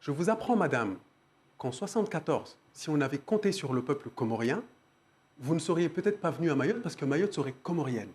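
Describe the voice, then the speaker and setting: A man's voice: a little high, animated, a little fast, darkish tone. A man insisting on his point in a televised debate, repeating himself. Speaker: debate guest.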